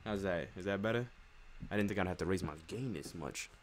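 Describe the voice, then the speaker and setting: A man's voice: low-pitched, fairly quick, slight background noise. A young American man doing casual, rambling let's play commentary in slang for his live viewers. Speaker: live streamer playing a driving game. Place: indoor room at home. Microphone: desk microphone for streaming. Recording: loud game music behind.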